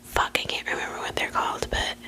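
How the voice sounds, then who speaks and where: A young woman whispering close to the mic, rambling, swearing freely, full of fillers. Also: fairly quick. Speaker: vlogger. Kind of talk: whispered casual chat.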